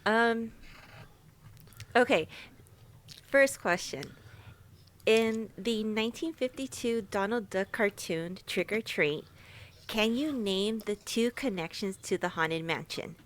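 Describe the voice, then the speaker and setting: A woman asking quiz questions on a casual podcast, bubbly and quick to laugh. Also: mid-range voice. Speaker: podcast guest quizzer.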